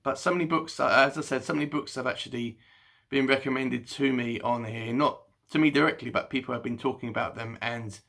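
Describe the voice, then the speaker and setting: A man vlogging from home in the evening with a gravelly, creaky edge to his voice. His tone is bright and forward. He speaks haltingly, full of ums, restarts and a slight stutter. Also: mid-range voice, fairly even intonation. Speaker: book vlogger. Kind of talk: casual unscripted chat to camera.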